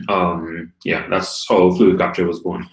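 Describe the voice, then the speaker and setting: A man from Trinidad and Tobago in his thirties, a guest telling his story in a relaxed, rambling way with many ums. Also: low-pitched, takes it slowly. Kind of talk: casual live-stream interview. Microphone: video call. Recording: echoey room on a video call.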